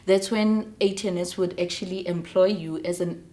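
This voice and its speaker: Low-voiced South African woman explaining her job. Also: fairly even intonation, moderate pace, mellow. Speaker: air traffic controller, interview guest. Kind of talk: informal explanatory interview talk.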